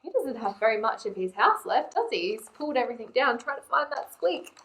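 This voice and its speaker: A woman reading a picture book aloud to young children in an expressive way, often turning to them with questions. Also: a little high, brisk, slight background noise. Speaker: children's librarian and storytime presenter.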